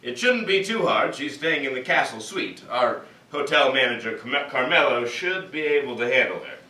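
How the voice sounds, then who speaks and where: Casting: assured, directive cartoon boss played by a man, very sharp lively delivery, echoey room. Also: mid-range voice, moderate pace. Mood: instructing. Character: breezy, commanding male boss of a theme park. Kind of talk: staged reading of an animated cartoon script. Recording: echoey room, distant mic.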